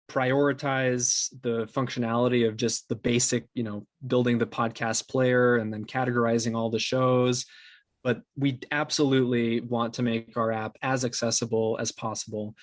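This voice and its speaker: A man's voice: medium pitch, steady tone, moderate pace, no room sound. A man speaking conversationally as an interview guest, earnest and appreciative in manner. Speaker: interview guest, podcast company co-founder.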